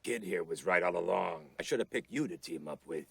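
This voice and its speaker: An actor voicing a streetwise, sardonic ventriloquist dummy with a gravelly, husky voice in a TV drama. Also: male voice, low voice, brisk, dry recording, exaggerated voice. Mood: matter-of-fact. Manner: tough, sardonic, clipped. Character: wisecracking, streetwise male ventriloquist dummy, cursed demon hunter. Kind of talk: TV drama dialogue.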